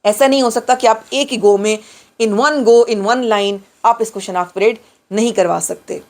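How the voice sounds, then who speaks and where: A woman's voice: fairly high pitch, a little slow, a little mellow. A middle-aged Pakistani woman giving an explanatory lecture, emphatically repeating and rephrasing key points and mixing in English phrases. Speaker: lawyer giving a law lecture.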